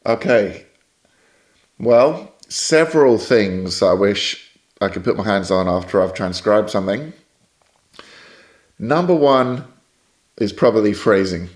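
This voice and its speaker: Lower-voiced British man explaining at length. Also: animated, takes it slowly, long pauses. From Scotland. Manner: explanatory, thoughtful, prone to tangents. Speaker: guest jazz saxophone teacher. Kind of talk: podcast interview answers.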